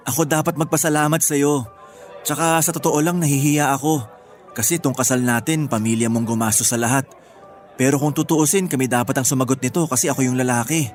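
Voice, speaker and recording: A man's voice: mid-range voice, fairly even intonation, fairly quick, natural voice. A man playing a devoted, earnest young groom with a Filipino accent in a radio drama. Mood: bashful. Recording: music bed behind the voice.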